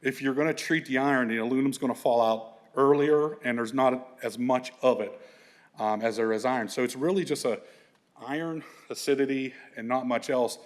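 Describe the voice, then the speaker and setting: A middle-aged man with a big, full voice gives a slide talk to a room of colleagues. His speech is loose and conversational, full of fillers, restarts and asides. He sits at an everyday male pitch. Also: fairly even intonation, normal speed. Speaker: environmental consultant presenting to an audience. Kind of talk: conference slide presentation.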